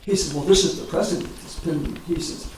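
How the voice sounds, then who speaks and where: An American man in his seventies, a war veteran reminiscing informally in a halting, rambling way with frequent pauses. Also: fairly high pitch. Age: 70s. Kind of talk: informal reminiscence at a public talk. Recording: echoey, distant mic, clear background noise.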